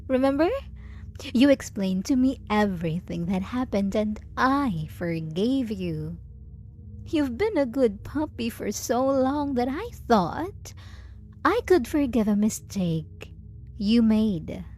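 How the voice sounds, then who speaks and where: A woman playing a jealous, possessive yet doting lover in an intimate ASMR roleplay, teasing and condescending with deliberate pauses. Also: fairly high pitch, sing-song, darkish tone, soft background music. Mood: possessive, controlled. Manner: slow, teasing, condescending pet-name cooing with deliberate pauses.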